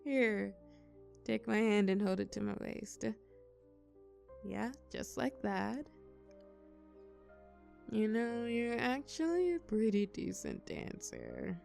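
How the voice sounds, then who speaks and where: A woman's voice: medium pitch, huge pitch swings, slow pace, creaky, pauses often, a little mellow, faint music behind, full character voice. A young woman playing a shy, tender college girl confiding in a close friend, hesitant yet playful, in an intimate audio roleplay. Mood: teasing, fond. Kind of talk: intimate scripted audio roleplay, one side of a conversation.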